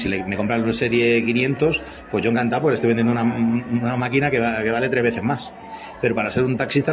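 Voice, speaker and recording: A man in his fifties with an accent from Spain speaks as a business guest in an interview. His voice is mid-pitched, and he explains in a wordy, halting way, with music clearly playing behind him. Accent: Spanish from Spain. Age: 50s. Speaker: interview guest, company CEO.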